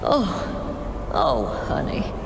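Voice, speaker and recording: A woman's voice: extremely low pitch, wildly swooping pitch, very slow, creaky, full character voice. A woman voicing a kindly, motherly villager in a video game, tender and encouraging. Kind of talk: acted video game dialogue. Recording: echoey reverb with music behind.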